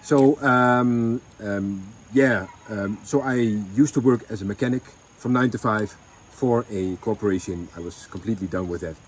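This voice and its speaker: Man with a deep voice, unpolished vlog chat, light noise behind him. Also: low-quality recording. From Netherlands. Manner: halting, many ums and restarts. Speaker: vlogger. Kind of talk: casual storytelling chat.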